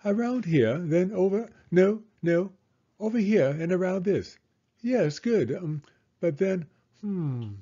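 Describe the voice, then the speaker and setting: A man's voice: high voice, expressive, slow pace, dark tone, muffled recording, voice pushed up, heavily acted. A man voicing a muddled, politely flustered jungle guide in a storybook, muttering hesitantly to himself. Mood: flustered, dithering. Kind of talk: storybook character voice.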